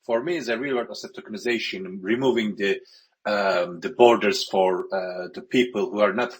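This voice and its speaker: A man from Turkey, moderating an online panel with halting speech full of filler sounds. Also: fairly low pitch. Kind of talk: online audio panel chat.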